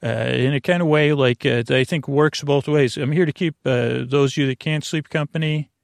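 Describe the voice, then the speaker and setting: A man's voice: fairly high pitch, steady tone, moderate pace, creaky, no room sound, studio quality. A man hosting a bedtime podcast in a meandering, hesitant, slightly goofy monologue that keeps circling back on itself. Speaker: podcast host.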